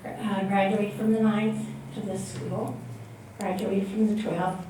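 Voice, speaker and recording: A woman's voice: medium pitch, little pitch movement, slow. An older woman from California, reminiscing informally about her life at a community panel. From Corona, California. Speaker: panel speaker sharing memories. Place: community room at a public panel event. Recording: very echoey room, distant mic, faint noise.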